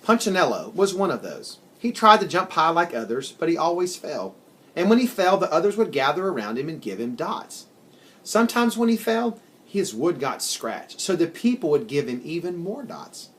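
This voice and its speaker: A man reading a children's story aloud in an expressive, deliberate storytelling manner. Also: fairly high pitch. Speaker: story reader. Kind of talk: scripted children's storybook narration.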